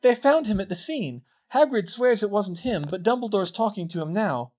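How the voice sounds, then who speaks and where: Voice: mid-range voice, expressive, normal speed, a little mellow, muffled recording, pitched above the performer's own voice, lightly acted. A reader voicing a frantic teenage girl student urgently bringing bad news. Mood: alarmed.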